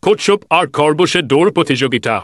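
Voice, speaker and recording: A man voicing a gruff, brisk animal who takes charge in a children's cartoon. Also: a little high, animated, very noisy, natural voice. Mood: commanding.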